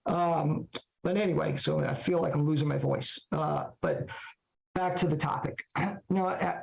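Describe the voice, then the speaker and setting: A middle-aged man, a trial lawyer speaking reflectively on a podcast, full of "you know" fillers, his voice hoarse after an illness. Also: on the high side, steady tone, normal speed, highs cut off. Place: small indoor room. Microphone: video call webcam.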